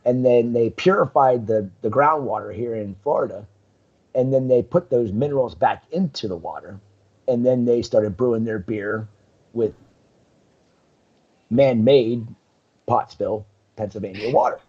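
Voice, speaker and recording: A man's voice: medium pitch, a little slow, husky, highs cut off. A man, a guest on a live talk stream, telling rambling stories full of ums over a video call. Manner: rambling storyteller, many ums, laughs often. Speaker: guest, coral business owner. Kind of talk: casual live-stream chat.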